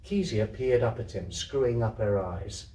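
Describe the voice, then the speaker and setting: A man giving an expressive live reading of a literary story indoors. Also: fairly low pitch, normal speed. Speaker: theatre troupe reader. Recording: roomy echo, distant microphone.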